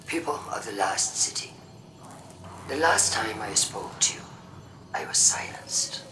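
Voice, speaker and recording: An actress playing a cold, imperious android faction leader giving a measured, accusatory address in a video game, with a low, breathy voice. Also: female voice, expressive, background noise. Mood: defiant, accusing. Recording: echoing address with music underneath. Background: music.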